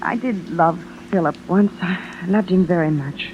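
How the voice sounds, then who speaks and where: An actress playing a dignified, wistful widow in a 1950s radio drama, speaking with poise and halting phrases. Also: female voice, lowish voice, close to an everyday voice. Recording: tinny, noisy vintage radio recording.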